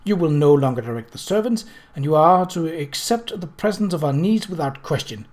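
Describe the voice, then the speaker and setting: An icy, commanding woman from a storybook, acted out by a man reading aloud. She speaks with bleak emphasis and tightly controlled fury, in a slightly raised pitch. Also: expressive, fairly quick, darkish tone, natural voice. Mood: cold, firm.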